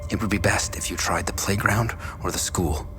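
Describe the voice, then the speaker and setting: A man's voice: sits low, moderate pace, over music, natural voice. A man playing an anguished, determined father and history teacher in a video game drama, earnest and burdened. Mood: worried.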